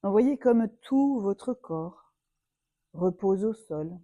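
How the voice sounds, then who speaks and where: A woman yoga teacher leading a guided relaxation meditation in a soothing, unhurried manner, leaving long pauses. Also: mid-range voice, lively intonation, dark tone, no echo.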